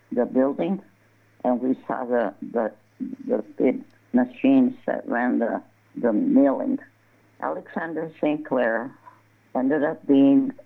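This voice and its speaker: A woman's voice: extremely low pitch, gravelly, breathy edge. An older woman from southern Colorado, telling stories in a casual interview. She rambles, restarts her words and laughs often. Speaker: guest, embroidery artist. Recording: tinny phone line.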